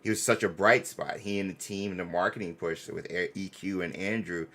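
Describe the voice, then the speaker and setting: A man's voice: deep voice, steady tone, brisk, fairly bright tone. A man hosting a gaming fan channel, chatting casually and effusively in slangy, bro-heavy speech. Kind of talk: casual live-stream chat.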